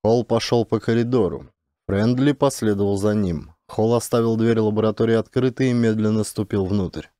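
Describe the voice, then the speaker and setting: A man's voice: fairly low pitch, moderate pace, dark tone, no room sound, clean studio sound. A man reading an audiobook as scripted dramatic narration.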